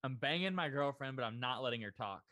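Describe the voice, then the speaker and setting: A man's voice: mid-range voice, lively intonation, fast, bright, forceful tone, no room sound, studio quality. A man co-hosting a podcast, answering questions in casual banter and teasing his partner with disbelief. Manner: incredulous, teasing, swears freely.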